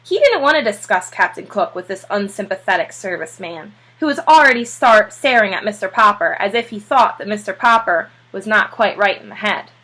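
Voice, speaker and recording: A woman narrating a children's storybook aloud like a teacher, with expressive delivery. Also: mid-range voice, a little fast, few pauses, poor audio.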